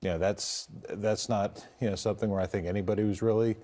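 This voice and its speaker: A man speaking as a lawyer in an interview, giving measured, hesitant opinions. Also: fairly low pitch, steady tone, dark tone. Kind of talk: interview commentary.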